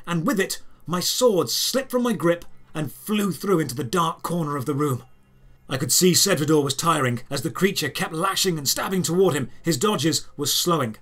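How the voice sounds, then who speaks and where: A man with a voice a little higher than average narrates a dramatic adventure story in the first person. He delivers it as scripted audio drama, in short, measured phrases with deliberate pauses. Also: a bit bright.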